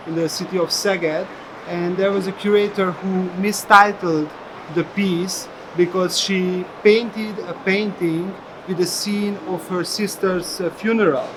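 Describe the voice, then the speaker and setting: A gallerist explaining an artist's work informally, in a storytelling way. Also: mid-range voice. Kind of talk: informal spoken explanation at an art fair. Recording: noisy fair hall background. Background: ambient fair hall noise.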